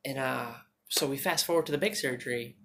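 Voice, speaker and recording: A woman vlogging on her phone at home, chatting fast and joking with some swearing, her naturally deep voice held higher. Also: a little hiss or hum. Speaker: YouTuber. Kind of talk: casual storytelling vlog. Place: indoors at home, TV behind her.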